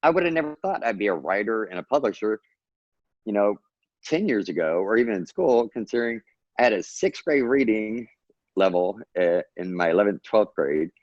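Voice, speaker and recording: A man's voice: on the low side, expressive, normal speed, darkish tone, no echo, lo-fi sound. A man from Texas, a guest author talking earnestly and at length in a casual interview, giving encouraging advice.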